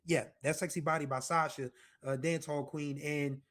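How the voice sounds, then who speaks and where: A man's voice: fairly high pitch, little pitch movement, moderate pace, fairly bright tone. A man in his forties from the United States, hosting a music show and rambling through casual commentary with asides and self-corrections. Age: 40s. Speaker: music podcast host.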